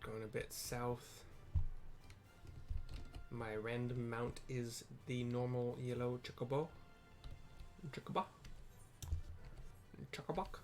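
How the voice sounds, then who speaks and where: A man's voice: medium pitch, level tone, darkish tone, soft background music. A man casually narrating a game playthrough, often stumbling and correcting himself as he goes. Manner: halting, self-correcting read-aloud. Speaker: gameplay video host. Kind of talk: casual game playthrough, reading quest dialogue aloud.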